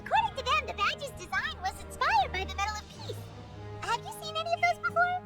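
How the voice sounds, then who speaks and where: A woman's voice: extremely high pitch, very animated, fast talker. A woman voicing a small, perky companion girl in a video game, with game music behind her. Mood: bright, curious.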